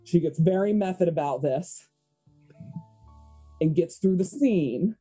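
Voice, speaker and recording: A woman's voice: lowish voice, expressive, takes it slowly, mellow, soft background music. A woman playing a tabletop roleplaying game, improvising her character's scenes and chatting casually at the table.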